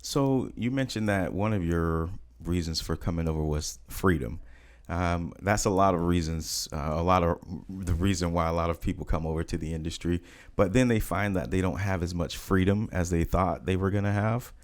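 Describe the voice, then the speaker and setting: A man's voice: low voice, normal speed. A man hosting a casual interview, informal and affirming, feeling his way into his questions. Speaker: podcast host.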